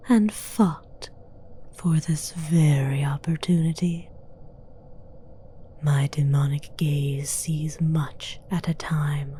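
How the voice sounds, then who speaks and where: Female performer as demoness queen, ASMR whisper, dark soft tone, slow deliberate delivery, mocking, condescending, seductive menace. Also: low-pitched, a little hiss or hum. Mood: haughty, knowing. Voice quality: breathy whisper. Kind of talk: whispered ASMR roleplay monologue.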